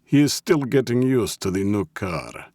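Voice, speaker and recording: A man voicing a courteous, quietly menacing Russian gangster boss with a Russian accent in an audiobook story. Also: fairly low pitch, very animated, normal speed, no echo, clean studio sound, voice pushed up. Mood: smoothly polite.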